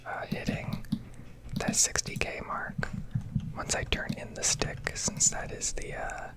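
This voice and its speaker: A woman whispering a casual, rambling ASMR commentary over a video game, pausing often as she goes. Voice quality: whispered, breathy. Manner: casual, rambling, hesitant pauses. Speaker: video creator, game streamer.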